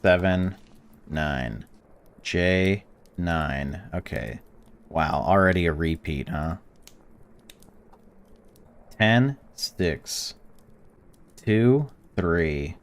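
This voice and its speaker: Very deep-voiced man reading a game aloud. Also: drawn-out words, pauses often, smooth. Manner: reads game text flatly, then blurts excited or indignant reactions. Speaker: gaming channel host. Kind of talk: reading a visual novel aloud while playing.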